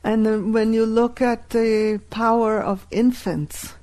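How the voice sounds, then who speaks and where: A woman whose first language is Hebrew, teaching a live workshop and deliberately repeating key phrases. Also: on the high side, fairly even intonation, warm tone.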